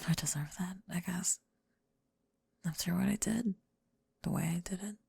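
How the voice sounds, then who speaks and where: Woman acting a guarded, creaky-voiced college girl. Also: on the low side, a little mellow, full character voice. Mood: vulnerable, hesitant. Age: young adult. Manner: halting, sighing, self-conscious. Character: guarded, flustered young female college student, secretly regretful. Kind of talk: ASMR roleplay, scripted intimate monologue. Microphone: close microphone, dry.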